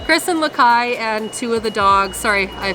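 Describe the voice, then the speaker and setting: Woman w a bit of a hoarse cold voice, chatting for a travel vlog, music behind her. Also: on the high side, lively intonation, normal speed, sharp tone, poor audio. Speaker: travel vlogger. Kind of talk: casual chat to camera. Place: busy airport.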